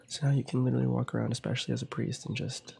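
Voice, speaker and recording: A young man with a cold, quietly commenting on his gameplay in a soft ASMR style, often slipping into whispers. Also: medium pitch, level tone, rapid-fire, gravelly, slightly rough. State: has a cold. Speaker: ASMR gaming channel host.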